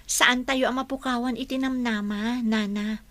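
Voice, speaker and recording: A high, youthful female voice from an actress plays an anxious young woman in a radio drama. She is emotive and questioning, in the heightened manner of Ilocano serial acting. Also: steady tone, normal speed, a bit bright, dry recording, natural voice. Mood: gentle, reassuring.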